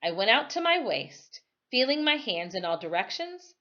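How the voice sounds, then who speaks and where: A woman reading a novel aloud as a storyteller, with careful, dramatic phrasing and expressive narration. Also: fairly high pitch, normal speed. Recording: dull, lo-fi sound.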